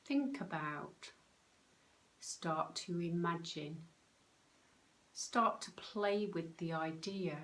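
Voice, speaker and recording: A woman leading a guided meditation in a calm, soothing, unhurried way, with pauses between short phrases. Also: fairly low pitch. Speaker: meditation guide, soul coach.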